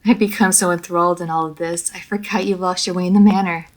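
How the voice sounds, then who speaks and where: A woman playing an immortal vampire lady of a manor in an audio roleplay, formal and measured, poised and teasing, speaking straight to the listener. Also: fairly low pitch, steady tone, lightly acted. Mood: gracious, gently teasing. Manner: formal, measured, old-fashioned genteel phrasing. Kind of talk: scripted roleplay audio story, addressed to the listener.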